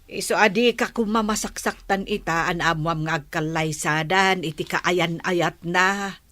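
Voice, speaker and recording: A woman with a Filipino accent playing a gentle mother who counsels her grown daughter in a radio drama. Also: lowish voice, unhurried, no room sound. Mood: concerned.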